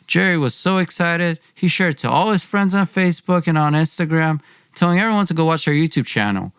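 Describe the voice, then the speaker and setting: A man's voice: on the high side, expressive, a little fast, fairly bright tone, clear, resonant tone, dry recording, clean studio sound. A young man hosting a podcast, telling a personal story dramatically in an informal, wry way, acting out dialogue. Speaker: podcast host.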